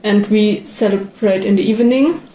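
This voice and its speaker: A woman's voice: mid-range voice, normal speed, mellow. A nineteen-year-old woman with a German accent, answering informally in an interview. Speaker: interviewed volunteer. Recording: echoey room, faint ambient noise.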